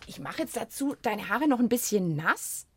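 A woman's voice: fairly high pitch, big pitch swings, moderate pace, a bit bright, slightly rough, no echo. A woman co-hosting a children's podcast in casual, spontaneous chat.

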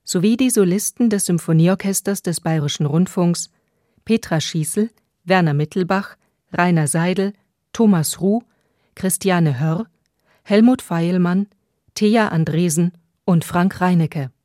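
A woman radio announcer reading a script in a measured, matter-of-fact way. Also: on the low side, mellow, no echo, clean studio sound.

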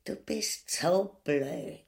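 A scheming, coarse wife with a Viennese accent. Her voice is full of fry and swings widely in pitch. She mocks and wheedles as a grotesque nag in a comic radio play. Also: animated, extremely slow, a little mellow, exaggerated voice. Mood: goading. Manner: mocking, wheedling, drawling insults. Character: scheming, vulgar, nagging wife goading her husband to murder.

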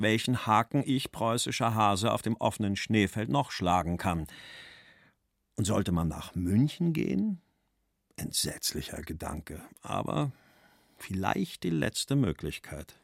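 An actor reading as a forty-year-old male writer, in a measured, dry, expressive delivery of personal letters. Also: medium pitch, creaky, no room sound. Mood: bitter, weary. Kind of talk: dramatic literary letter reading.

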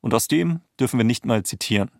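Podcast-Erzähler mit knarriger Stimme. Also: male voice, medium pitch, animated, quick pace, creaky, darkish tone, no room sound, studio quality. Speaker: podcast host and narrator. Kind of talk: scripted documentary podcast narration.

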